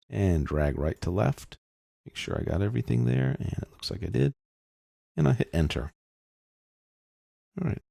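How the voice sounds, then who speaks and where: Man, software tutorial narration, calm step-by-step explaining, gravelly fry, dark mellow tone. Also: low voice, no room sound, clean studio sound. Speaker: software instructor. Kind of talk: screen-recorded tutorial narration.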